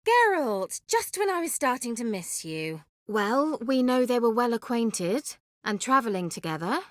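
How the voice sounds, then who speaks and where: An actress playing a self-assured, coy and haughty young sorceress in a video game, with articulate, teasing, theatrical delivery. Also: female voice, fairly high pitch, very animated, a little slow, dry recording, studio quality. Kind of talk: video game character acting.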